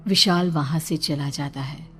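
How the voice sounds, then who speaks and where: Lowish-voiced woman narrating a horror story. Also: steady tone, measured pace, slightly rough, faint music behind.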